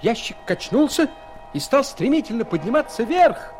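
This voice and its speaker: An actor playing an earnest, wonderstruck seafaring traveller in a storybook audio play, with expressive, theatrical delivery. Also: male voice, very high-pitched, normal speed, soft background music. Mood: excited longing. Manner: expressive, exclamatory, reflective. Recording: 1970s studio audio play, background noise.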